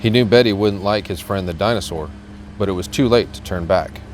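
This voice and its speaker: A man's voice: low-pitched, brisk, slight background noise. A middle-aged American man reading a children's storybook aloud as a guest reader. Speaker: guest reader, police officer.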